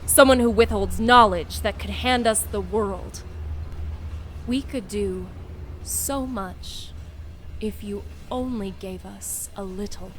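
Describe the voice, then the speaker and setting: A proud woman dean, played by an actress in a comedic radio drama, with a mid-range voice. She speaks formally and earnestly, in measured phrases, over faint background noise. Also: medium pitch, lightly acted. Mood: pleading, indignant. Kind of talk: comedic audio drama acting.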